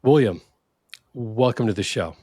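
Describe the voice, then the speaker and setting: Man, podcast host, low voice, dry close sound, upbeat, conversational. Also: expressive, unhurried, warm tone, breathy edge, dry recording. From Silicon Valley, California. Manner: upbeat scripted intro, friendly conversational questions. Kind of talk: interview podcast hosting.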